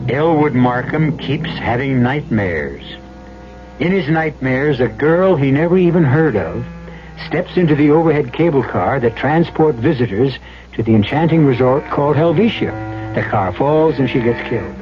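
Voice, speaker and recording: A man hosting a radio mystery drama, narrating in a measured, portentous way. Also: a little high, background noise. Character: suspense host narrating a nightmare tale. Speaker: host of radio mystery series. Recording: dull old radio, loud music underneath.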